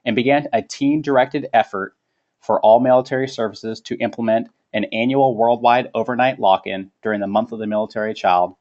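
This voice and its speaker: A man's voice: medium pitch, a little mellow. A man reading a formal scripted webinar presentation as its presenter. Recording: dull lo-fi webinar audio.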